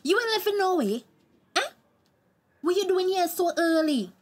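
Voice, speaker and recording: High-pitched, defiant Tobagonian daughter. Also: female voice, expressive, normal speed. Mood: insulting, angry. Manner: cheeky, confrontational. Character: defiant, insolent grown daughter, young village woman. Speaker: actor in radio drama. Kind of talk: radio drama acting.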